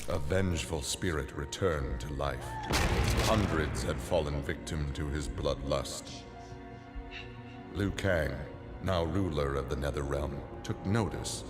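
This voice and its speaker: A low-pitched man narrates a dark fantasy game story with solemn, measured drama. His voice trails into long reverb, with clear music playing behind it.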